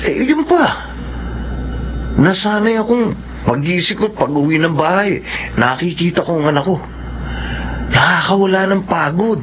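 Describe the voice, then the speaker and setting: A man's voice: sits high, expressive, a little slow, long pauses. An actor playing a worried, stubborn young father with a Filipino accent in a radio drama. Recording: dull radio recording, faint noise.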